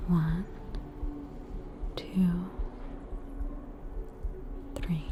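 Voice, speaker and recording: A woman's voice: on the low side, flat delivery, noisy background. An Australian woman voicing a soothing, faintly haughty witch doctor in a whispered ASMR roleplay. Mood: soothing, reassuring. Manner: slow, soothing, reassuring bedside manner.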